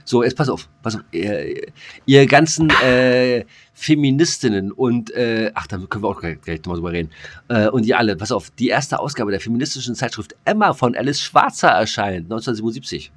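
A man's voice: medium pitch, animated, fairly quick. A man co-hosting a casual podcast, rambling and joking with many fillers. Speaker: podcast co-host.

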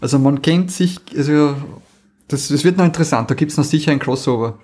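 A man's voice: on the high side, brisk, darkish tone. A man with an Austrian accent co-hosting a podcast in casual chat, often repeating words as he thinks aloud. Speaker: podcast co-host.

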